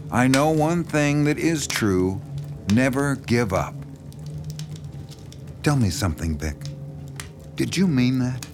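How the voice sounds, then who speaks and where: Persuasive horror author, unhurried, assured, coaxing, controlled, mid-pitched, audio drama, music behind. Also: male voice, expressive. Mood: measured reading. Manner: smooth-talking, coaxing, controlled, deliberate. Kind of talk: naturalistic audio drama acting.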